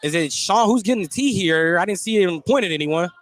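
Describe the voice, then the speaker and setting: A man doing live radio play-by-play of a high school basketball game, describing the action in clipped running phrases. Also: animated, brisk, fairly bright tone. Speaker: play-by-play announcer.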